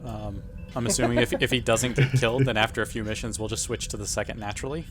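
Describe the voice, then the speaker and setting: A man's voice: medium pitch, steady tone, quick pace, fairly bright tone, faint music behind. A man playing in a tabletop roleplaying game, chatting and joking casually. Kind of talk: casual chat and joking banter. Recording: clear background noise.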